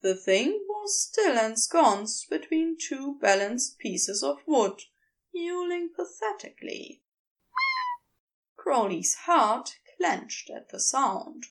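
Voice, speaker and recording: High-voiced woman narrating with lively intonation. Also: takes it slowly. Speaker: audio fiction narrator. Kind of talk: scripted story narration.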